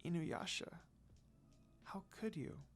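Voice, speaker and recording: A woman voicing a gentle, heartbroken teenage girl in a story reading, with a soft, murmuring delivery. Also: on the low side, slow pace.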